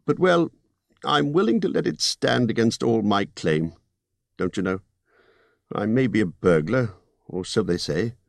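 A man voicing a fussy, polite male hobbit burglar who talks in a businesslike, slightly squeaky way. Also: lively intonation, unhurried, gravelly, long pauses, no echo, clean studio sound. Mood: uncomfortable.

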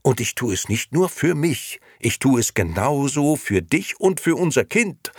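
A man's voice: on the low side, animated, a little fast, a bit bright, no echo, clean studio sound, pitched above the performer's own voice. A man voicing a nervous, irritable, ambitious male innkeeper who justifies himself defensively, in an audiobook. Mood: agitated, pleading. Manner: agitated, defensive, self-justifying. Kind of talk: audiobook character voice.

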